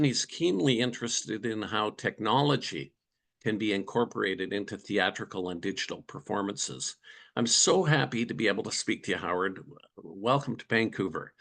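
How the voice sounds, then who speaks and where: A man's voice: lowish voice, creaky, fairly bright tone. A man hosting an interview, reading an introduction and then asking friendly questions with a few ums. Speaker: interviewer, editor.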